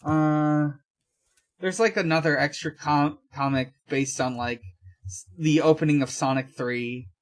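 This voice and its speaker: A podcast host with an unhurried, slow pace and a medium-pitched voice. The delivery is casual and low-key, halting along through a recap as if chatting with friends. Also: steady tone. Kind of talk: casual comic recap chat.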